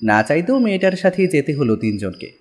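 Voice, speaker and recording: A man's voice: a little high, very animated, fairly quick. A man narrating a scripted horror story in a dramatic style.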